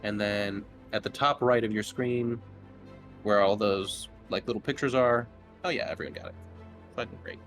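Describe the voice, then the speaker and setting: A man's voice: fairly low pitch, fairly even intonation, normal speed. A man speaking as game master, narrating and explaining play in an easygoing, informal way. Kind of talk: casual tabletop game narration. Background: game music.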